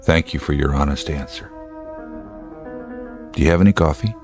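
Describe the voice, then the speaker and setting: An actor playing a steady, plain-spoken state trooper in a radio drama, measured and dry in manner. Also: male voice, extremely low pitch, soft background music. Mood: subdued, steady.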